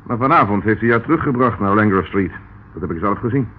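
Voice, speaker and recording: A firm police inspector on tinny radio. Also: male voice, on the low side, lively intonation, quick pace, slightly rough. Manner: firm, insistent questioning. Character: persistent male police inspector. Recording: tinny old radio broadcast, faint hiss.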